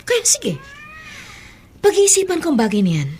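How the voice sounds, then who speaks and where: An actress in a Filipino radio drama playing a stubborn, disillusioned elder sister, curt and reluctant. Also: female voice, very high-pitched, wildly swooping pitch, normal speed, dark tone, a little hiss or hum, exaggerated voice. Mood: grudgingly softening.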